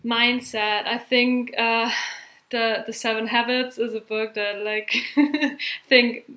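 A young woman whose first language is German, answering interview questions in a thoughtful, halting way and laughing often. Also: fairly high pitch, fairly even intonation, bright, forceful tone. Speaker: athlete guest.

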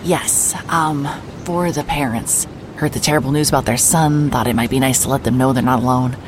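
An actress playing a wry, hard-hearted private investigator narrating a noir audio drama in a dry, deliberate way. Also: female voice, very deep voice, noisy background. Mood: feigned sweet politeness.

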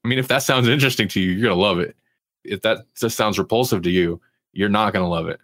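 A man's voice: lowish voice, quick pace, fairly bright tone, no echo, clean studio sound. A man hosting a TV review podcast in casual chat, rambling and informal with an amused manner. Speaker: podcast host reviewing TV shows.

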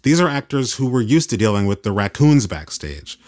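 A man's voice: on the low side, sing-song, brisk, darkish tone, dry recording, clean studio sound. A man hosting and narrating a documentary in scripted narration. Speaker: host and narrator.

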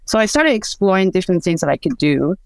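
A woman's voice: on the low side, big pitch swings, fast, creaky, no room sound. Podcast guest, woman, 40s, from Taiwan, thoughtful conversational telling, dry sound. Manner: thoughtful, with restarts and fillers. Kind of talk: conversational storytelling.

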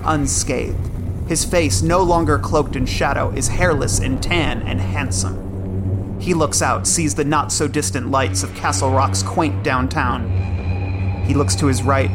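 A man narrating an audio drama, setting scenes in measured, suspenseful short phrases. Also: medium pitch. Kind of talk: scripted screenplay-like scene narration. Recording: loud music bed behind the voice. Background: music.

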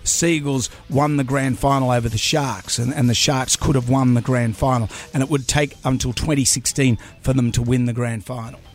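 A man's voice: mid-range voice, steady tone, normal speed, hardly any pauses. A man with an Australian accent hosting a radio chat, friendly with stumbling restarts. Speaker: radio host.

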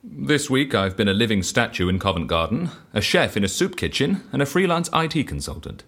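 A man's voice: medium pitch, big pitch swings, brisk, fairly bright tone, breathy edge, heavily acted. An actor playing a mild, compliant man in an audio drama, speaking politely in a literal, matter-of-fact manner. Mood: calm, matter-of-fact. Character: mild, compliant man who obeys dice.

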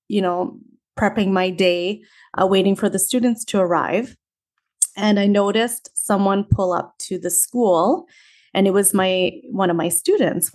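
A woman in her thirties with a Canadian accent, telling her story as an interview guest in a friendly, measured way. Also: mid-range voice. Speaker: teacher and author, guest.

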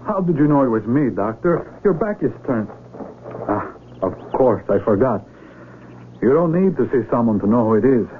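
An actor in a radio drama playing a proud, desperate young mountaineer, an outsider who speaks earnestly and defensively. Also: male voice, medium pitch, big pitch swings, moderate pace, a little hiss or hum, close to an everyday voice. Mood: casual, defensive. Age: young adult. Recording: tinny vintage radio broadcast.

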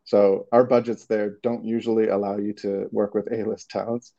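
A man's voice: fairly low pitch, flat delivery, moderate pace, creaky, dark tone, lo-fi sound. A man from Canada with a Canadian accent, answering interview questions casually and hesitantly, with many restarts. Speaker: creative director, guest.

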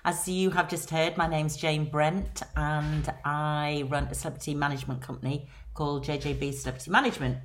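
Chatty Lancashire woman, low voice. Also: little pitch movement, brisk, hardly any pauses. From England. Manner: chatty, rambling, many ums. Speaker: guest, celebrity agent. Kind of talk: casual podcast chat.

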